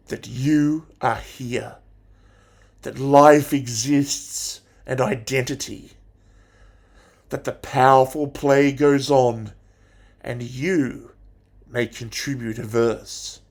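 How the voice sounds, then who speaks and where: A man playing a passionate, theatrical English teacher in a dramatic monologue, declaiming deliberately with pauses. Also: mid-range voice, darkish tone. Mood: earnest, hushed passion. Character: passionate, theatrical male English teacher rousing his pupils.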